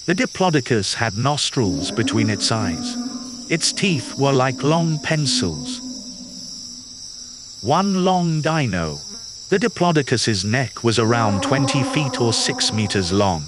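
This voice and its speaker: Lively man reading a kids' book aloud. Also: medium pitch, slow, noisy background. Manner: clear, patient, teacherly, praises listener. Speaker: children's book reader. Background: background music.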